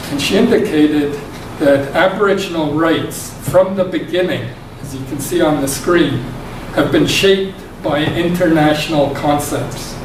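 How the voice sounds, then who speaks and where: Soft-voiced guy lecturing, kinda high, far from the mic in an echoey noisy hall. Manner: soft-spoken, measured. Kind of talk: public lecture.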